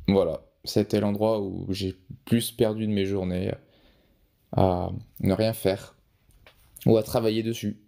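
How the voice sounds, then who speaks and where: A man's voice: lowish voice, little pitch movement, unhurried, creaky, dark tone. A young man answering interview questions in a casual, hesitant way, with frequent restarts and fillers. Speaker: podcast guest, photographer.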